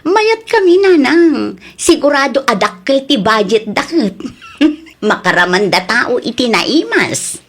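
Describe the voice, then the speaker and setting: An actress plays a carefree, freeloading young wife in a comedy radio drama. Her voice is high, with an Ilocano Filipino accent. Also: big pitch swings, a little slow, fairly bright tone, heavily acted. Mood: eager, scheming. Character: carefree, freeloading married daughter, eager to save money.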